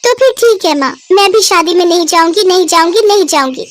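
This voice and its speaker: A woman's voice: very high-pitched, expressive, a little fast, a little hiss or hum. A woman voicing a six-year-old village girl in a cartoon, pleading and eager. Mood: upset. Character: little girl Renu, six, sulky and eager.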